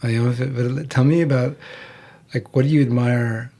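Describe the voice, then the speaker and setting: A man's voice: medium pitch, fairly even intonation, normal speed, gravelly, mellow. A man hosting a podcast, asking curious, probing questions and often restarting mid-sentence. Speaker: podcast host. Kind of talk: conversational interview.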